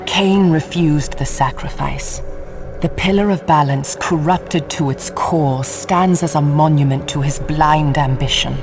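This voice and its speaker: A woman's voice: low voice, gravelly. An actress playing a sorrowful, bitter female ghost in a video game, with haunting, formal delivery. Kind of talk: theatrical video game cutscene acting.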